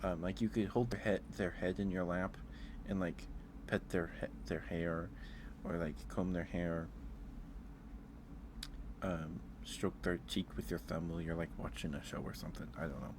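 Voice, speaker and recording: A man's voice: low voice, fairly even intonation, normal speed, background noise. A man chatting casually with livestream viewers as host, musing aloud in an informal, hesitant way.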